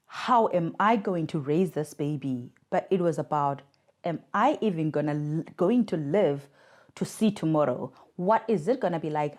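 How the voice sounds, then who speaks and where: A woman's voice: low voice, darkish tone. A South African woman in her thirties, a podcast guest telling her own story in an earnest, reflective way over a remote connection. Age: 30s. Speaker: guest, communications worker for a mothers' charity. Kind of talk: podcast interview, personal story. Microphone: remote recording.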